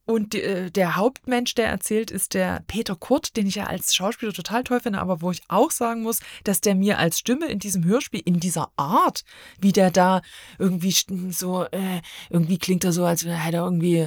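A woman's voice: on the low side, moderate pace, dry recording, clean studio sound. A woman chatting casually as a guest reviewer, rambling and circling back mid-sentence as she gives her opinion. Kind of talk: casual chat, giving opinions.

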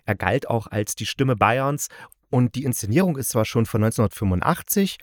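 A man's voice: mid-range voice, expressive, fairly quick, no room sound, clean studio sound. A man, a podcast host casually reviewing radio plays in a rambling, playful, colloquial way.

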